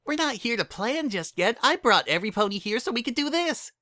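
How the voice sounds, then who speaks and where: Man doing a very high, hyper female party pony. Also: fairly quick, exaggerated voice. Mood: cheerful. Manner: excitable, gushing, exclamatory. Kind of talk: dramatic reading of fan fiction.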